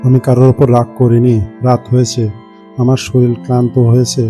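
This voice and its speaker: A troubled village farmer acted by a man talks earnestly in a mellow, even voice. He sounds defensive, like a simple cartoon countryman, with light music behind him. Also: medium pitch, fairly quick, close to an everyday voice. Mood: weary, doubting. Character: troubled village farmer, fond of his pet fox. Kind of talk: cartoon character acting.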